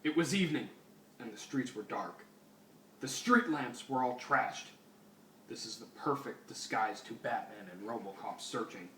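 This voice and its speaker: A man's voice: medium pitch, expressive, moderate pace, sharp tone, slight background noise. Roomy, distant recording of a man reading theatrically. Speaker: fan fiction reader. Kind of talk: comedic dramatic reading of a story.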